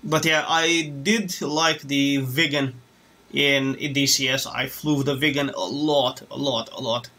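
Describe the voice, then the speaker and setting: A man's voice: medium pitch, steady tone, a little slow, hardly any pauses, sharp tone. A man from Romania chatting casually on a live stream while flying a simulator, rambling and restarting his sentences. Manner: rambling, hesitant, many restarts. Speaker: flight sim streamer.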